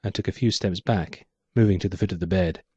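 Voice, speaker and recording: A man with a lowish voice narrates a hard-boiled noir story as its author. The recording is very dry and slightly dull, lacking some crispness. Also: brisk, darkish tone, no room sound. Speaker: author reading his short story. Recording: dull muffled lo-fi audio.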